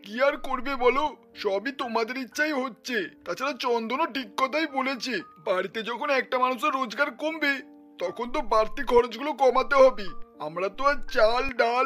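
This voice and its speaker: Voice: sits high, a bit bright. An actor playing a reasonable, mildly reproachful older father-in-law in a cartoon.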